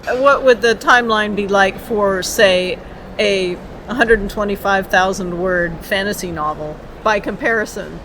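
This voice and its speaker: A woman's voice: mid-range voice, measured pace, a bit bright, slight background noise, rough recording. A woman hosting an interview, asking questions in a casual, conversational style. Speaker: interviewer, host.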